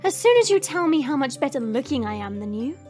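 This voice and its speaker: A woman playing a proud, teasing but caring fantasy woman in an audio drama, with a smug, drawn-out delivery. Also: sits high, big pitch swings, a little mellow, soft background music. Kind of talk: audio roleplay acting.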